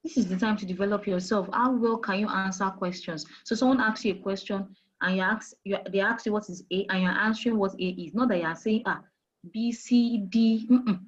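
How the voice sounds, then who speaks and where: A Nigerian woman speaks with firm emphasis and stresses each point again and again, putting rhetorical questions to her listeners. Her voice sits in a middle pitch, and the recording sounds a little dull and muffled. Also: steady tone, a little fast. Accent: Nigerian English. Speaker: HR manager guest. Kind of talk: career advice interview.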